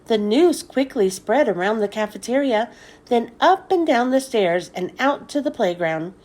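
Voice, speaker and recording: Animated, high-voiced woman reading a storybook. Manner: expressive, deliberate storytelling delivery. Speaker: children's book read-aloud host. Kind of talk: scripted storybook narration for children.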